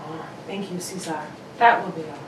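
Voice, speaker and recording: A woman playing a brisk, confident, analytical detective, reasoning precisely in the manner of an old radio mystery. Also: on the low side, steady tone, lightly acted. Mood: probing, thoughtful. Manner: precise, probing, theatrically emphatic reasoning. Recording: roomy, distant, noisy home recording.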